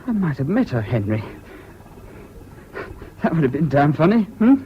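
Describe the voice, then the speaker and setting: An actor playing a needy, highly strung bridegroom and war veteran, with an English accent and a stammering, pleading manner, in a British radio drama. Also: male voice, sing-song, normal speed. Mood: giddy. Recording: dull old radio recording with faint noise.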